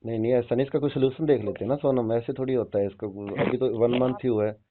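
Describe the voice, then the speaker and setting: A man's voice: mid-range voice, steady tone, quick pace, natural voice. A man playing an evasive older father-in-law in a dramatized phone call, stammering and repeating himself as he defends himself. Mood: pleading, persuading. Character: evasive older father-in-law dodging blame, pleading and cajoling. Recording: dull lo-fi phone-call audio.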